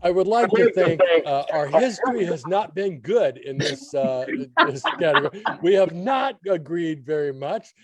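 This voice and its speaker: A man's voice: a little high, lively intonation, normal speed, few pauses, a crowd in the background. A man giving opinions as a regular guest reviewer in casual, conversational radio chat.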